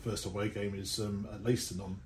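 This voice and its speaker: A man with a rather low voice interviews informally after a match. He talks quickly. The recording is echoey, with clear background noise. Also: level tone. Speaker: club interviewer. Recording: echoey, noisy room recording.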